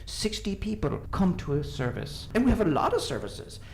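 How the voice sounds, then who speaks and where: Woman playing an animated, creaky Irish priest. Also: mid-range voice, normal speed, a little hiss or hum. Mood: welcoming, earnest. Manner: gushing, earnest. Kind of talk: comic mockumentary interview.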